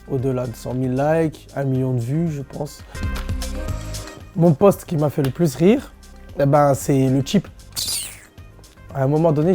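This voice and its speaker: Slangy young man, mellow voice. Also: medium pitch. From Belgium. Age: 20s. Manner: slangy, loose and playful, with frequent fillers. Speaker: dancer and social media comedian. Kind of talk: casual interview answers.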